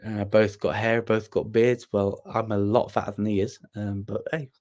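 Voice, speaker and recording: A man's voice: fairly low pitch, little pitch movement, normal speed, a little mellow. A young man hosting a casual livestream, rambling and self-deprecating as he answers viewers with plenty of ums and restarts. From Wales. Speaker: livestream host.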